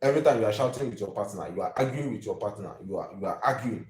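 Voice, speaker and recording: A man's voice: fairly low pitch, rapid-fire, darkish tone, reverberant. A Nigerian man in his twenties hosting a live video, ranting emphatically and preachily and repeating his points. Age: 20s.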